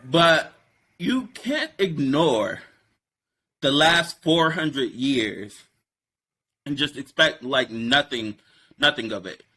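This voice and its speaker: A man hosts a casual political talk, his voice bright and forceful. He rambles and stacks up fillers, but he talks with animated conviction. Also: medium pitch, takes it slowly, pauses often, slightly rough. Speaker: podcast host.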